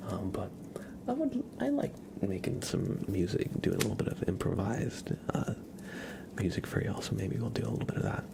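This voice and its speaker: A man hosting an ASMR livestream, chatting in a hushed, unhurried, soft-spoken whisper close to the microphone. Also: lowish voice, huge pitch swings, vocal fry, noisy background.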